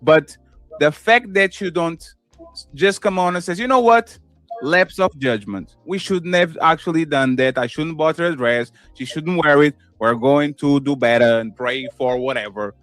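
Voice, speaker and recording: A man with a Portuguese accent giving his opinions on a podcast, animated, swinging between loud and soft and acting out quotes. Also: on the high side, moderate pace, dry recording, faint music behind. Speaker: podcast co-host. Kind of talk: casual opinion talk.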